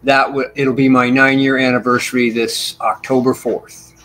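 A man in his sixties, a bassist guest telling long rambling stories in a casual interview over a video call from a small home room. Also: level tone, normal speed, fairly bright tone, slight background noise, rough recording. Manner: talkative, rambling storyteller. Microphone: video call with desk mic.

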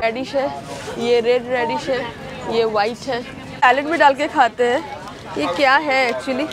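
A woman's voice: high voice, fairly even intonation, measured pace, loud music behind the voice. A woman from India in her thirties chatting to viewers as she shops for vegetables at an outdoor farmers market, filmed on a handheld camera. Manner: chatty, talks to viewers while handling produce. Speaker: vlogger. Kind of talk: casual vlog chat while shopping. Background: market crowd chatter.

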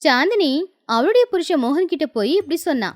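A woman's voice: high voice, sing-song, fairly quick. A woman telling a scripted moral tale as its storyteller.

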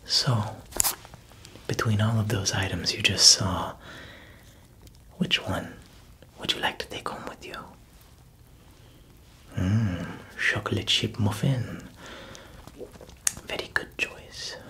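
A man in an ASMR roleplay voicing a proud male baker who whispers slowly, chuckles softly and fumbles for words as if foreign. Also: fairly low pitch, steady tone. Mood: playful. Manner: whispered, slow, with soft chuckles.

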